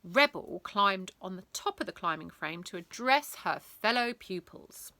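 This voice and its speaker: A woman reading a children's bedtime story aloud in a theatrical, expressive way, lingering on words. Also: medium pitch, measured pace, dry recording.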